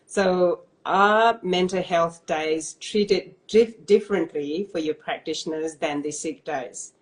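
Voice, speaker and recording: Woman host, low voice, soft-spoken, affirming, live-stream chat. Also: slow pace, low-quality recording. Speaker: host, interviewer. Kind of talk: casual live-stream interview.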